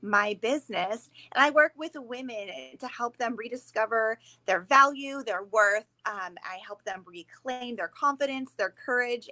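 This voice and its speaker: Bright, upbeat woman hosting. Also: a little high. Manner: upbeat, enthusiastic. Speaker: life coach and host. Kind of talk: casual conversation. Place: hotel room.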